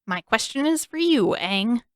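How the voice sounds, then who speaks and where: Woman doing a crazy old king voice, teasing riddler, kinda high, super dry mic. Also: very animated, a little slow, no echo. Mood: sly, playful. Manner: theatrical, teasing, grinning riddler. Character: eccentric, manic old male king, cackling.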